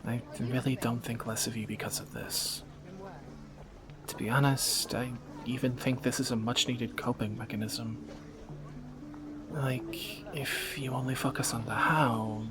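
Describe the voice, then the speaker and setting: A man's voice: mid-range voice, steady tone, measured pace, over music, lightly acted. A man playing a wry, flirtatious thief on the run in a romantic audio drama, speaking in teasing, sardonic banter to a companion. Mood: wry, reassuring.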